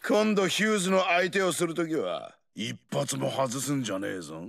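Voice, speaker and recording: Gravelly, swaggering old brawler. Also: male voice, on the high side, normal speed. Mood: mocking, taunting. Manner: rough, swaggering, taunting slang. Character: brash, rowdy, cocky ageing male explosives-loving brawler. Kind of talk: video game character voice lines.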